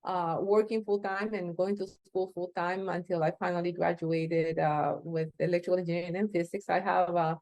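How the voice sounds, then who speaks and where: A woman in her fifties from Costa Rica, speaking English with a Costa Rican accent as an interview guest, answering haltingly with many fillers and restarts. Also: on the low side, level tone, darkish tone, no echo, low-quality recording. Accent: Costa Rican Spanish. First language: Spanish. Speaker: interview guest, space agency executive.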